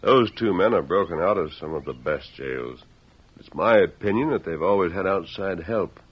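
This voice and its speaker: A muffled old radio recording of a resolute western lawman. His voice is very deep and swings expressively, yet his manner stays calm, formal and authoritative. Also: moderate pace, husky. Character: calm, resolute masked lawman posing as farmhand.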